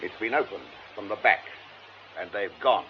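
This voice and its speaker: A man's voice: lowish voice, close to an everyday voice. A male actor playing an officious but kindly police sergeant in a radio drama, matter-of-fact and firm. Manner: matter-of-fact, unhurried authority. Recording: dull radio recording with clear noise.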